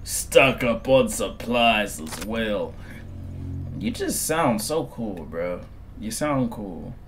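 A man in his twenties commentating on a game through a headset, excitable and slangy, repeating himself. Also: fairly low pitch, takes it slowly, soft background music. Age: 20s. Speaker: gaming streamer. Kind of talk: casual gameplay commentary.

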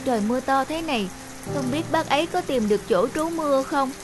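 Over prominent music comes a high, gentle little boy's voice. He is a sickly, polite schoolchild in a cartoon, speaking with earnest compassion. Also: lively intonation, fairly quick. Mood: sad, earnest. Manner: polite, soft-hearted, earnest. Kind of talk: cartoon dubbing. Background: background music.

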